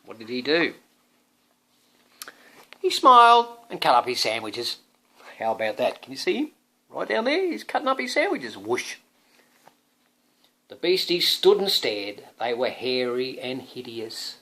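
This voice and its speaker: A man reading a children's storybook aloud in an animated, playful way, like a dad with questions for his young listener. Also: medium pitch, measured pace, long pauses. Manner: dramatic, playful, often asking the listener questions. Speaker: father reading a picture book to his young son.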